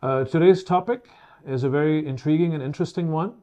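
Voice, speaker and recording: A man in his fifties presents as the host of a professional webinar. His voice sits a little high for a man and has a relaxed, mellow tone. He words things formally and hesitates between phrases. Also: fairly quick.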